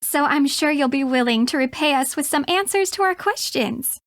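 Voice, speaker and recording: A woman's voice: sits high, lively intonation, moderate pace, no room sound, clean studio sound. An actress playing a haughty, sarcastic young woman, a detective's pushy rival sidekick, with an American accent in a video game. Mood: sardonic. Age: young adult.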